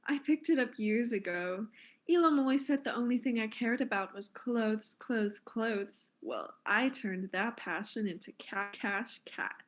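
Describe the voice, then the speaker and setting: A woman's voice: fairly high pitch, unhurried. A woman playing a vain, catty space fashion designer in a mystery drama, self-important and theatrical. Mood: smug. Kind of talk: acted mystery audio drama.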